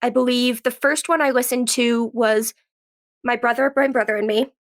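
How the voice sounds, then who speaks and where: A woman's voice: a little high, fairly even intonation, normal speed. A woman in her twenties with a cold, chatting casually in an interview and peppering her youthful, conversational talk with "like". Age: 20s. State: has a cold. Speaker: guest, actress and writer.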